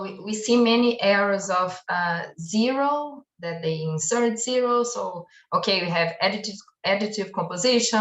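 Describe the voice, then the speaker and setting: A woman's voice: medium pitch, fairly bright tone, roomy sound, rough recording. A woman on an academic panel asking and debating with many fillers like "uh" and "like". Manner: searching, filler-heavy questions.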